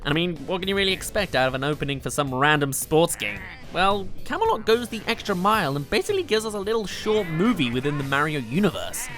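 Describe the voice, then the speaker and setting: A man with a fairly high voice delivers scripted countdown commentary as a game reviewer. His delivery is animated and gushing, with informal phrasing. Game music plays clearly underneath him. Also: quick pace, hardly any pauses. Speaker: video game reviewer and narrator. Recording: loud music behind the voice. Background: game soundtrack music.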